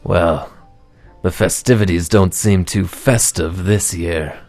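A man's voice: deep voice, takes it slowly, faint music behind. A man playing a brooding noir detective. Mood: deadpan. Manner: brooding noir narration, deadpan. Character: gloomy hard-boiled male private detective. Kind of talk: comic radio noir acting.

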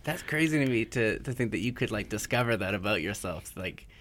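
Low-ish voiced guy hosting, keen and chatty, says like a lot. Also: animated, a little fast, fairly bright tone, slight background noise. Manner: enthusiastic, filler-heavy. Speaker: interviewer. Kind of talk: casual interview.

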